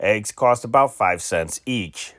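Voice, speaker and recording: A man reading old newspaper dispatches aloud as an amateur news reader, measured, sometimes correcting himself and slowing at phrase ends. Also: lowish voice, animated, fairly bright tone.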